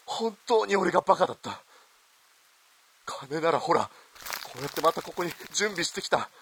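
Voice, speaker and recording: A man's voice: a little high, animated, normal speed, fairly bright tone, slight background noise. An actor playing a wealthy, domineering man who pleads bluntly and ardently as a lover in a radio melodrama. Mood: pleading. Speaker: actor in amateur radio drama. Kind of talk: melodramatic radio drama acting.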